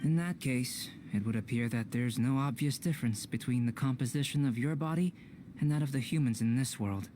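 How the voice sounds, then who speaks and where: Calm, analytical young alchemist, played by a man. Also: medium pitch, a little hiss or hum, close to an everyday voice. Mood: detached, methodical. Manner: measured, formal, scholarly delivery. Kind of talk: scripted video game voice acting.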